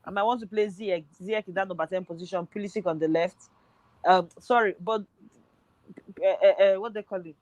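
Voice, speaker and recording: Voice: mid-range voice, fairly even intonation, a little fast, no echo. Football pundit, hesitant, voice worn thin, dry recording. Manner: hesitant, thinking aloud with many fillers. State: voice tiring, nearly gone. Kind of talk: casual football podcast chat.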